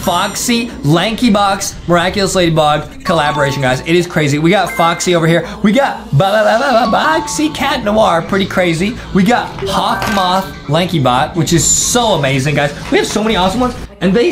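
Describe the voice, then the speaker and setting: A man in his twenties with an American accent, hosting excitable reaction commentary for kids, exclaiming and repeating phrases. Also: high-pitched, a little fast, few pauses. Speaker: host. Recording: music behind the voice.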